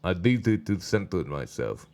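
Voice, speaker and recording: Man putting on a calm, low German-accented werewolf. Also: unhurried, creaky, full character voice.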